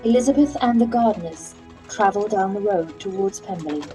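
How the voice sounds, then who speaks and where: A woman narrating stage directions in a plain, measured way for a radio play reading. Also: mid-range voice, over music, low-quality recording.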